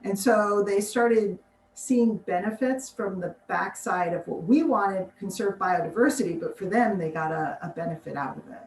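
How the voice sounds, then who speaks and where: An American woman talking in a relaxed, chatty way, often laughing and playing out little quotes. Her voice sits at an ordinary pitch. She sounds set back from the mic in an echoey room, with a faint hiss underneath. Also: moderate pace. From United States. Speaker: biologist guest. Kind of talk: casual interview answers. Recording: distant roomy mic with faint noise.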